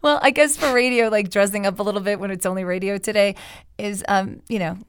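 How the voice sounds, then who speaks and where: A woman from Cleveland co-hosting a casual talk show, bubbly, her voice a little raspy from a lingering cough. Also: mid-range voice, fairly even intonation, fast, dry recording, clean studio sound. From Cleveland, United States. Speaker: radio and TV show co-host.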